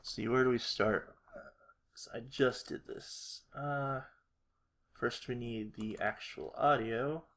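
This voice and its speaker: A man's voice: mid-range voice, fairly even intonation, slow pace, a bit bright. A man narrating a casual tutorial, hesitant and unrehearsed, thinking aloud as he works. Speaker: animator, video creator.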